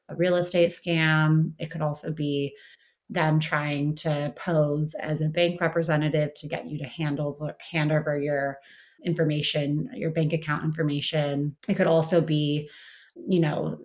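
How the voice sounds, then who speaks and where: A woman speaking as an interviewed expert, explaining conversationally with frequent "you know" and "kind of". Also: sits low. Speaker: interviewed researcher and writer.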